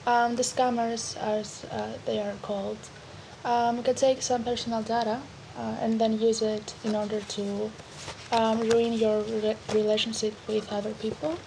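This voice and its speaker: A woman's voice: on the high side, little pitch movement, unhurried, vocal fry, noisy background. A young woman from Greece, a student guest, answering hesitantly with many fillers. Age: teens or young adult.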